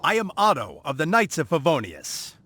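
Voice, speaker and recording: A stern, officious knight. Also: male voice, on the high side, huge pitch swings, moderate pace, bright, forceful tone, a little hiss or hum, exaggerated voice. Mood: commanding. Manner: formal, commanding. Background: game music.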